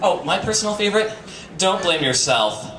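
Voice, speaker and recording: A man with a fairly high, very lively voice performs a comic reading on stage, leaning into campy emphasis and tossing in asides. The recording is an echoey, distant capture from a live venue with clear background noise. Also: normal speed, a crowd in the background. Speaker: reader at a live comedy reading night. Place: bistro venue in front of an audience. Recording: roomy, distant, noisy live stream capture.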